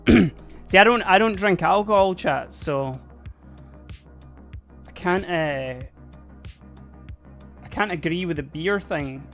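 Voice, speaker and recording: A live-streaming miniature painter chatting casually and giggling a lot while painting, a little hoarse from laughing. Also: mid-range voice, slow pace, pauses often, a bit bright, soft background music. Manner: chatty, giggly, rambling, addresses chat.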